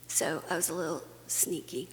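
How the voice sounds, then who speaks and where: A woman's voice: deep voice, fairly even intonation, normal speed. A woman from Guatemala giving an earnest, conversational conference talk, with fillers and restarts. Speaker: conference speaker. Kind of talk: plenary talk to a faith audience. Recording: long hall reverb, faint hum.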